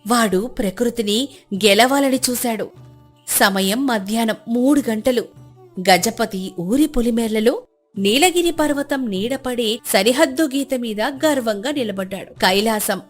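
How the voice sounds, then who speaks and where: Female storyteller, slightly high voice, scripted moral-tale narration with music underneath. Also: a little slow.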